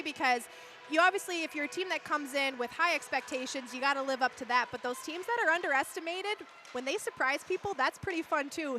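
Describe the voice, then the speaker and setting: A woman's voice: sits high, noisy background. A woman with a Minnesotan accent giving casual hockey analysis, explaining plays and laughing easily. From Twin Cities, Minnesota, USA. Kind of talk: casual live-stream analysis.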